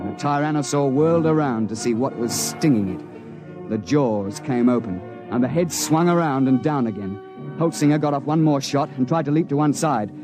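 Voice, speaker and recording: A gruff, seasoned British safari guide, played by a male radio actor, with a mid-pitched man's voice. He tells his adventure in clipped, old-school phrases, in vintage 1950s radio style with music in the broadcast. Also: natural voice, rough recording. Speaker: narrator and lead character. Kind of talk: 1950s radio drama acting, narrating an adventure.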